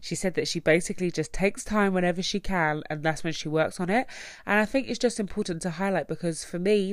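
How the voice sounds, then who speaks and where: A woman's voice: low-pitched, no echo. Nasal, stuffy-sounding woman host. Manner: earnest, encouraging, conversational. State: stuffy, under the weather. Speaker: podcast host. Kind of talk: solo reflective podcast talk.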